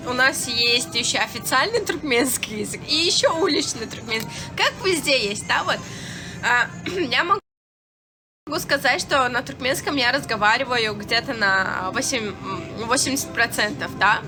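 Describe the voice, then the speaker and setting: A young woman from Turkmenistan talks on a live stream in a somewhat high voice with a clear Turkmen accent. Her first language is Turkmen. She answers her viewers frankly, trips over words and restarts, and music plays plainly behind her. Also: animated, normal speed. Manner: answers viewers' comments, chatty and frank, many fillers and restarts. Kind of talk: casual live-stream chat.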